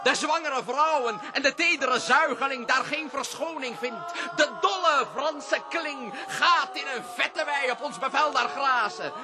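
An actor in a radio verse drama as a boastful, bloodthirsty military commander with grandiose theatrical declamation. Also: male voice, extremely high pitch, measured pace. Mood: frenzied, shouting.

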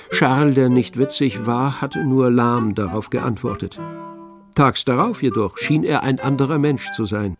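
A man narrates a scripted literary radio play in a medium-pitched voice. Music plays clearly behind his storytelling.

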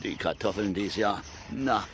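An actor playing a terse, folksy East German forestry worker, gruff and fond of teasing, in a 1970s radio crime drama. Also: male voice, fairly low pitch, a little slow, fairly bright tone. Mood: gruff, matter-of-fact. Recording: clearly noisy old radio play recording.

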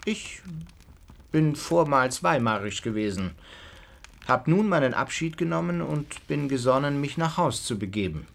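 An actor playing a brave, earnest wandering soldier in a radio drama, speaking in a cautioning manner. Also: male voice, medium pitch, lively intonation, a little slow, creaky. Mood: guarded.

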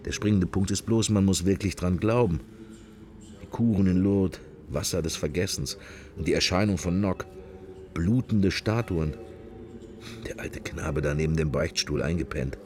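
A man's voice: sits low, moderate pace, darkish tone, natural voice. An actor playing a wry, irreverent man musing to himself in clipped fragments, as inner monologue in a radio drama. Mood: musing, ironic. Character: wry, musing male sceptic, inner thoughts while observing church.

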